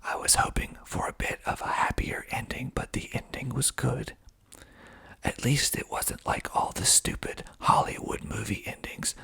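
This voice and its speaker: A man reading aloud in a whispered ASMR style, deliberate and breathy, with wry, deadpan asides. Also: medium pitch. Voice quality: breathy, mostly unvoiced whisper. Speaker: filmmaker and actor hosting an ASMR video.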